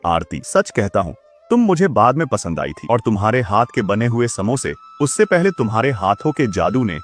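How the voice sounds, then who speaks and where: Lively, agreeable cartoon husband voiced by a man. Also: fairly low pitch, normal speed, soft background music. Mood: sincere, admiring. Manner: earnest, polite. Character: agreeable, appreciative husband and young father. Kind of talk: Hindi moral-story cartoon dubbing.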